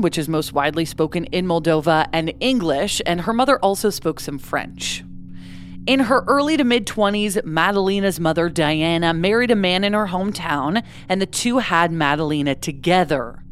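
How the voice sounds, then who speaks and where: A woman's voice: fairly low pitch, normal speed, slight background noise. A woman hosting a true-crime podcast, narrating a case in a conversational way with casual asides. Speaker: podcast host.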